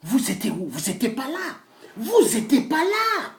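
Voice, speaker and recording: Animated man, high-voiced and declamatory. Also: unhurried, vocal fry. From Congo-Brazzaville. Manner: declamatory, boastful, repeats phrases for emphasis. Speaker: self-styled pastor and political activist. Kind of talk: impassioned political monologue. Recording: echoey room sound.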